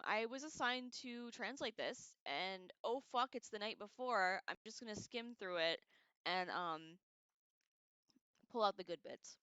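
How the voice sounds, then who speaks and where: A woman hosting a livestream, casually reading aloud and breaking off into irreverent, sarcastic, slangy commentary in an expressive way. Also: mid-range voice, clean tone, no room sound, highs cut off. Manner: expressive, animated asides. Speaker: livestream host.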